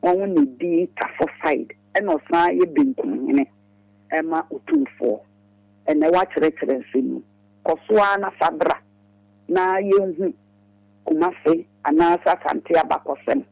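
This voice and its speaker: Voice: mid-range voice, very animated, unhurried, creaky, a little mellow, dry recording, rough recording. A caller with a Ghanaian accent giving comments on a radio phone-in show.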